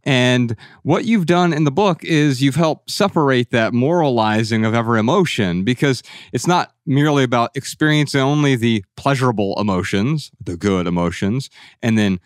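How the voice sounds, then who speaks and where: A man's voice: mid-range voice, lively intonation, moderate pace, no echo, clean studio sound. A man hosting a podcast, talking in an earnest, reflective way and sharing anecdotes. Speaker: podcast host. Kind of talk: casual podcast conversation.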